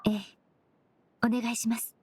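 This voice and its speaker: A woman playing a composed young female investigator in an anime game, speaking with gentle, formal, measured politeness. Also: a little high, flat delivery, slight background noise, natural voice. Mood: composed, reassuring.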